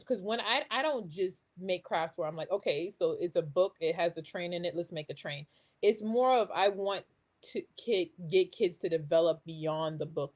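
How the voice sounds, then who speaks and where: A woman with a slightly low-set voice talks in a casual, conversational way. She explains like an educator, working through her thoughts by asking herself questions. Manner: talks through ideas with self-posed questions. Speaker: guest, children's craft maker and educator.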